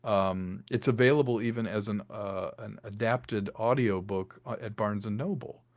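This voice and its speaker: A man's voice: fairly low pitch, normal speed, no room sound, clean studio sound. A director and writer in a casual interview, talking about his audio drama and slipping into voiced quotes. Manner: animated, slips into voiced quotes, laughs between thoughts.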